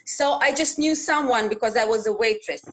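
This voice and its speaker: A woman's voice: fairly high pitch, a bit bright, rough recording. Russian-accented woman telling her story. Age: 30s. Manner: halting non-native English with many fillers and restarts. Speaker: guest, news reporter. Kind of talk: casual interview conversation.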